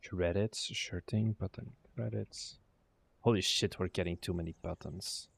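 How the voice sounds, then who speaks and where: A man's voice: deep voice, steady tone, unhurried, creaky, slightly rough. A young man, a game developer thinking aloud on a casual livestream, muttering to himself, trailing off and swearing now and then. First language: Dutch. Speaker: indie game developer streaming.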